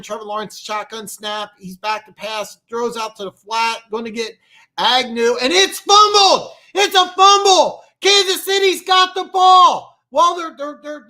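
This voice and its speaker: A young man doing live play-by-play and reaction for a football game, excitable and prone to fillers, with a sore throat as he starts to lose his voice. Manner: excitable, jumps high and loud on big plays, lots of fillers. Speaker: amateur play-by-play commentator.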